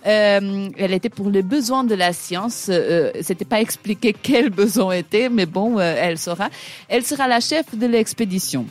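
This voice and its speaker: A woman's voice: mid-range voice, moderate pace, a little mellow. A woman whose first language is not French, giving an ironic, chatty radio chronicle with frequent hesitations. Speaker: radio show columnist.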